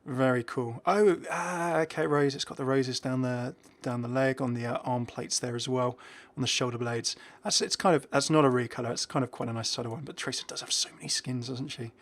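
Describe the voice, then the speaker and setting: Male game reviewer, mid pitch, casual running commentary, chatty and rambling. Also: fairly even intonation, fairly quick, hardly any pauses. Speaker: video game reviewer and host.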